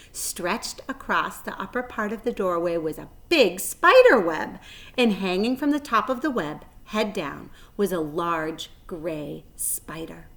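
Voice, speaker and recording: A woman's voice: medium pitch, a bit bright. A woman reading a children's story aloud like a teacher, expressively, addressing her young listeners.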